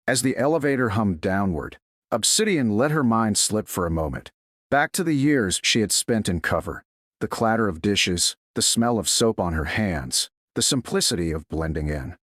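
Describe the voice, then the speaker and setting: A man reading scripted dramatic fiction as a narrator, in a measured, solemn way. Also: fairly low pitch, a bit bright, no echo, studio quality. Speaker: story narrator.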